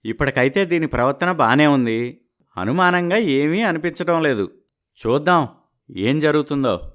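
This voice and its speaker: A man's voice: a little high, expressive, normal speed, a bit bright. Scheming, smug husband, acted by a man. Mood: suspicious, guarded. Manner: gloating, calculating. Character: scheming, deceitful young husband, smug and suspicious. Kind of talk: dramatised story acting.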